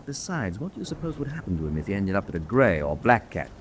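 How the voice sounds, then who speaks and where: A measured, authoritative wartime submarine commander in a radio play. His voice sits fairly low and the words come quickly, as he reasons with principle, over faint noise. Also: sing-song. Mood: grave, weighing. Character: measured, principled German senior U-boat officer, a prisoner of war. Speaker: actor. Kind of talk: radio drama acting.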